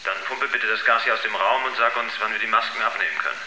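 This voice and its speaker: A man playing a calm, authoritative spaceship crew leader in a German sci-fi radio drama, measured and matter-of-fact. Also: on the low side, echoey room, noisy background, lightly acted. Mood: commanding.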